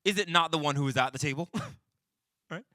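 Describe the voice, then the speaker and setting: A man's voice: on the high side, fairly quick, sharp tone, no room sound, studio quality. A man in his forties giving an informal, conversational sermon as a pastor leading a teaching session, often checking in with his listeners. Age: 40s.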